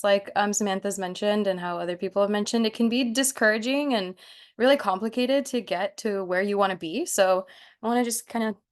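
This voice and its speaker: A young woman in her twenties, born in Mexico, giving an encouraging, casual presentation on a video call with fillers. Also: mid-range voice. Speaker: PA student speaker. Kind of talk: online workshop presentation.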